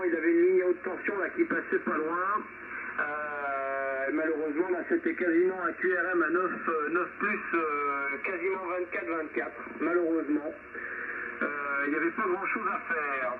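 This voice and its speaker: Male ham radio host, high voice, informal and friendly, thin narrow-band signal with static. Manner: friendly, informal, uses radio jargon and call signs. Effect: shortwave single-sideband radio transmission. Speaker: amateur radio operator hosting a nightly net. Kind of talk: casual ham radio chat. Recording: noisy, narrow-band shortwave radio reception, distant.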